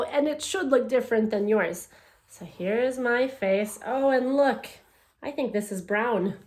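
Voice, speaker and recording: A woman teacher leading a read-aloud and art lesson for children, speaking in simple, gentle, encouraging phrases. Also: mid-range voice. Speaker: elementary school teacher.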